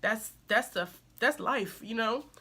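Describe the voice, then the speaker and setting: Young female vlogger, nasal from a cold, bright forceful tone, earnest encouraging advice. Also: a little high, slow pace, breathy edge. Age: young adult. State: recovering from a cold. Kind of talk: casual advice talk to camera.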